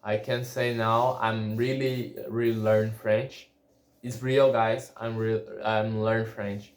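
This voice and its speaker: A man whose first language is Portuguese, talking casually in a solo vlog about learning a language, halting and restarting often. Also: fairly low pitch, flat delivery. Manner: halting, many restarts and fillers. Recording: echoey room.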